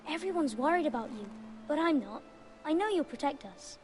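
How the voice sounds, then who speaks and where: A woman's voice: high-pitched, expressive, brisk, a little mellow, a little hiss or hum. A woman voicing a naive, anxious and blunt little noble boy in a fantasy game drama, with a childlike, plaintive way of talking. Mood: candid, trusting. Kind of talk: dramatic video game voice acting. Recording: faint music behind the voice.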